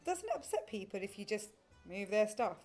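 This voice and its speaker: An admiring, flirtatious woman in an audio drama. She is eager and inquisitive, speaking at a medium pitch over faint music. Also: big pitch swings, a little fast, a little mellow. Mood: flirtatious, curious. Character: fascinated, admiring, flirtatious adult woman dinner guest.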